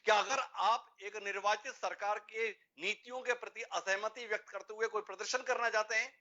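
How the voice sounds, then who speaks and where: A man's voice: high-pitched, steady tone, moderate pace, bright, forceful tone, dull audio. A man hosting a formal debate show, speaking emphatically and posing rhetorical questions.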